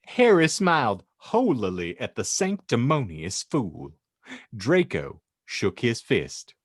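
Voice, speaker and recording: A man's voice: medium pitch, huge pitch swings, slow pace, dry recording, studio quality. A man hosting a comedy podcast, narrating and bantering in a wry, mock-formal way. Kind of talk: comic audiobook narration with banter.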